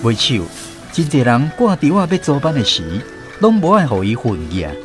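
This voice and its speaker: Male radio narrator and host, lively storyteller, light music bed. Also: medium pitch, normal speed.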